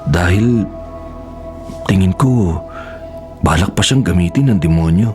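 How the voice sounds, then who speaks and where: A man's voice: low-pitched, animated, measured pace, music in the background. An actor playing a grave, perceptive man who advises on spirits and rituals in a radio horror drama, with an earnest, solemn delivery. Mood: grave, explaining.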